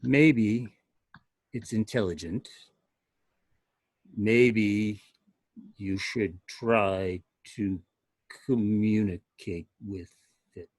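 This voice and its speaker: A man playing a dying android science officer, cold and calm, speaking in slow, broken phrases at a table read. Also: on the low side, dry recording. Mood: slow, fading. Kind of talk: amateur screenplay table read.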